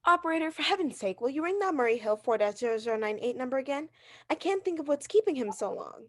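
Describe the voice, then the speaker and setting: High-pitched, neurotic bedridden wife. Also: female voice, animated, fast talker. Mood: impatient. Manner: agitated, haughty, melodramatic complaining. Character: neurotic bedridden wife, nervous, imperious, easily outraged. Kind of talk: theatrical 1940s-style radio drama acting.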